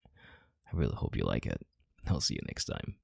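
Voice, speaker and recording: A man with a deep, husky, gravelly voice giving a soft-spoken introduction as an audio creator. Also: sing-song, normal speed, no echo, studio quality.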